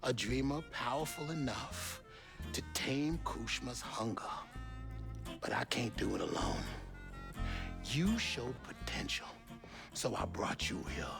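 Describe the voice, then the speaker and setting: An actor playing a sly, theatrical trickster with a Southern American accent in a video game cutscene. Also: male voice, mid-range voice, animated, takes it slowly, vocal fry, long pauses. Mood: sly, explaining. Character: sly, theatrical trickster who rules an illusory town. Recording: game music behind.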